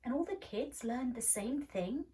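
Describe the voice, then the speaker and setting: A woman's voice: high voice, expressive, a little slow, mellow, distant mic, pitched above the performer's own voice. A woman voicing a stubborn, scornful schoolgirl in a storybook, with an argumentative, doubtful manner. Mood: questioning. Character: stubborn, scornful schoolgirl who dislikes lessons.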